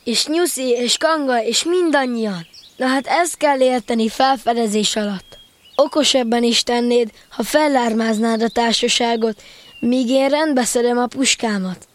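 Woman playing a high-voiced confident little boy. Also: lightly acted. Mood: bossy, brisk. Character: confident, bossy, fond young boy adventurer. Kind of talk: children's audio drama acting.

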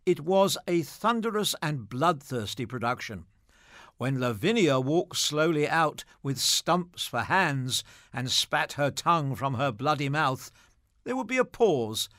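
A man's voice: a little high, a bit bright, no echo, clean studio sound. A man presenting scripted material and reading anecdotes aloud.

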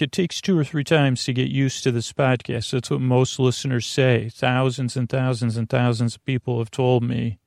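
A man with a creaky voice hosting a bedtime podcast, rambling in a silly, meandering way that trails off and circles back. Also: medium pitch, darkish tone, no room sound, studio quality. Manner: silly, goofy. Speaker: podcast host.

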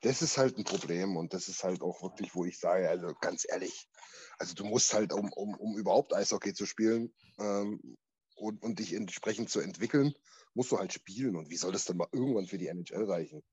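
A husky-voiced man chats on a sports podcast. He rambles and restarts his sentences freely, and his voice sits at a middle pitch. The sound is dry and close. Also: brisk, a little mellow, no echo. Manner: chatty, rambling with restarts, laughs easily. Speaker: podcast co-host. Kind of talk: casual sports podcast chat.

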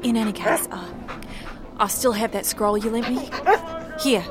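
Woman playing a wary high-voiced elf. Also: fairly even intonation, moderate pace, music in the background, natural voice. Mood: wary, urgent. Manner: urgent, nervous. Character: wary, hurried lithe female elven traveller.

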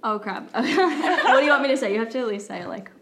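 A woman's voice: sits high, lively intonation, a little fast, noisy background. A Brazilian woman in her twenties with Portuguese as her first language, co-hosting a law school podcast in a chatty, filler-heavy style, often laughing. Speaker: law student podcast co-host. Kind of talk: casual chat.

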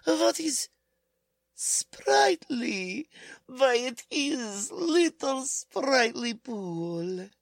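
A woman playing a timid, frightened German-American housewife in a 1940s-style radio drama, speaking haltingly. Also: high voice, big pitch swings, creaky, dry recording, full character voice. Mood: uneasy. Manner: hesitant, halting delivery.

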